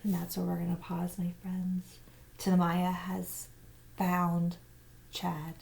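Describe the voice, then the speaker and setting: Low-pitched woman reading a story aloud. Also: monotone, slow, gravelly, darkish tone. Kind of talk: children's novel read-aloud narration.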